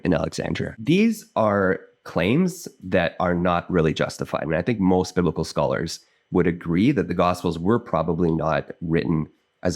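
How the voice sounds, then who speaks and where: A man's voice: deep voice, a little mellow. A Canadian man in his thirties, a biblical scholar, talking earnestly to camera and thinking aloud as he explains. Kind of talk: casual explanatory commentary to camera.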